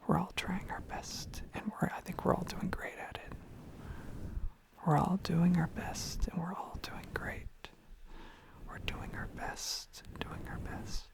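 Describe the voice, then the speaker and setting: A person whispering a gentle, soothing ASMR ramble in a breathy voice with some fry, softly repeating phrases close to the microphone. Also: medium pitch. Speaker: ASMR video creator. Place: own room.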